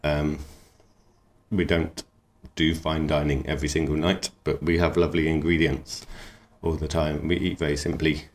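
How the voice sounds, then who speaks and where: Very deep-voiced relaxed man. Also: normal speed. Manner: easygoing, rambling, enthusiastic. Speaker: knifemaker and cook. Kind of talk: relaxed interview talk.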